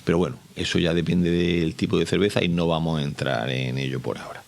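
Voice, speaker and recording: A man's voice: low voice, steady tone, a little fast, creaky. A man speaking as an academic expert, explaining informally and didactically, often posing questions and answering them himself. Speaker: biochemistry professor, expert.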